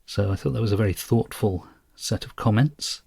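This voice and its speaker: A man co-hosting a podcast in a casual, measured and thoughtful chatting style. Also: on the low side, vocal fry, mellow, husky, no room sound. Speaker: podcast co-host.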